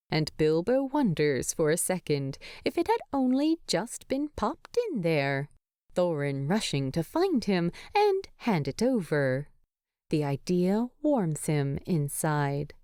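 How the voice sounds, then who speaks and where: Animated woman narrator, unhurried, dry close sound. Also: lowish voice, no room sound. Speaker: fan fiction narrator. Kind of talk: expressive scripted story narration.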